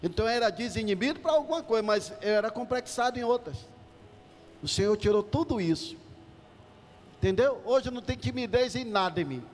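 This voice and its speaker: A man's voice: high voice, expressive, a little fast. A middle-aged man from Salvador, preaching in church and calling out to the congregation. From Salvador, Bahia, Brazil. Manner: emphatic, calls out to the congregation. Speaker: pastor. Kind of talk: preaching a sermon. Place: church hall. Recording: church hall reverb.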